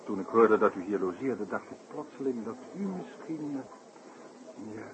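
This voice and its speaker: An actor playing a polite, worried circus director in a 1960s radio play, speaking formally and carefully. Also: male voice, on the low side, expressive, moderate pace, close to an everyday voice. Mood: earnest, uneasy. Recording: hissy, noisy old radio broadcast.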